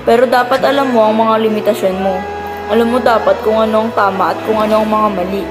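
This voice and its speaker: A woman's voice: a little high, fairly even intonation, fast, over loud music, natural voice. A woman playing a caring schoolteacher who gives advice in an amateur school radio drama. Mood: advising.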